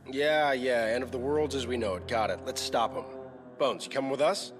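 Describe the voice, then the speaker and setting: A man's voice: mid-range voice, moderate pace, music in the background, lightly acted. A man playing a brash young starship captain in a video game, casual, impulsive and wry. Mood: urgent. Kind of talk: video game cutscene acting.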